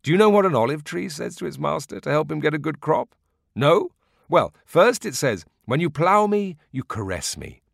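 A man's voice: fairly high pitch, very animated, normal speed, creaky, no echo, voice pushed up, exaggerated voice. Genial, playful village man for a literary audiobook read by a male narrator, folksy storyteller, close dry sound. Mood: playful, wry. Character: Barba Petro, genial Greek villager explaining olive lore.

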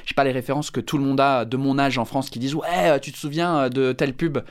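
A man's voice: mid-range voice, fairly even intonation, fast talker, clear, resonant tone. A man in his thirties from England, a stand-up comedian speaking French with an English accent, answering interview questions with many fillers and restarts.

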